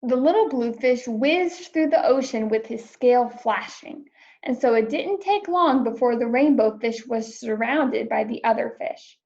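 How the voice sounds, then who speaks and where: A woman narrates a children's story with clear, expressive delivery. Her voice sits high with some vocal fry. The recording is dull and lo-fi. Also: unhurried. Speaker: storybook reader. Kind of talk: children's read-aloud narration.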